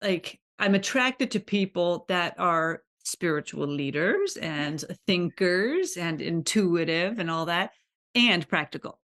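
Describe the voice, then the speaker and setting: A woman's voice: on the low side, animated, slow pace, a bit bright. A woman hosting a podcast interview, chatty and enthusiastic, laughing readily. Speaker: podcast host. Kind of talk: conversational interview.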